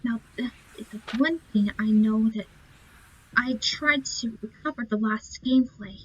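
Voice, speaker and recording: A woman's voice: fairly high pitch, measured pace, husky. A woman giving casual commentary as she plays through a video game. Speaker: gamer presenting a playthrough. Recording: dull lo-fi mic.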